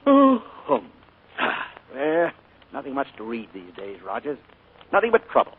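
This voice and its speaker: An actor in 1940s radio acting, playing a charming, secretive uncle who turns sharp when crossed, on a tinny old broadcast. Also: male voice, medium pitch, wildly swooping pitch, a little slow, husky, heavily acted. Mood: genial. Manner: genial, then sharp and forceful when crossed. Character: smooth, secretive male uncle with a menacing edge.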